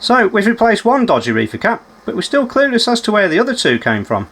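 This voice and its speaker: A man's voice: high-pitched, huge pitch swings, fast, fairly bright tone, a little hiss or hum. A man giving unscripted running commentary as he works on a repair, musing aloud and putting questions to himself with dry, understated humour. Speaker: electronics repairer presenting a repair video.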